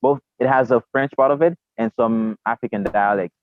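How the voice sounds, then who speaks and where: A man's voice: medium pitch, brisk, dry recording. A young Haitian man whose first language is Haitian Creole, talking in an interview with many fillers and repeated words. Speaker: podcast guest. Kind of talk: conversational interview. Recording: tinny phone-like remote call.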